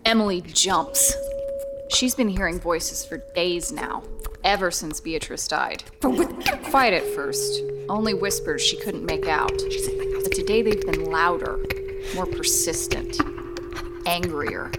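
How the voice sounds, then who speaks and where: A woman reading scripted horror narration in a measured, suspenseful way. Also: lowish voice, over loud music.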